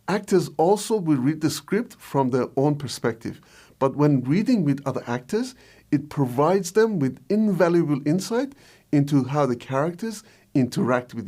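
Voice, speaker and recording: A man's voice: a little high, a little mellow. A man presenting a scripted explainer as a video host and filmmaker. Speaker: video host, short film director.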